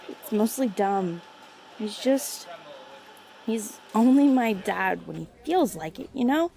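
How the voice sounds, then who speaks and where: A woman's voice: fairly high pitch, creaky, mellow, heavily acted. A woman acting a hesitant, apologetic young college student in a naturalistic audio drama. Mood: hurt, venting. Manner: halting, apologetic, unsure. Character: hesitant young female college student, hurt but quietly resolute.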